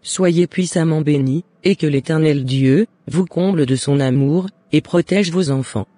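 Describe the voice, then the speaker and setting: Mellow-voiced woman giving a devout sign-off. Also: sits low, dry recording. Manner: devout, measured. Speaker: channel co-host. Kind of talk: scripted religious blessing and channel sign-off.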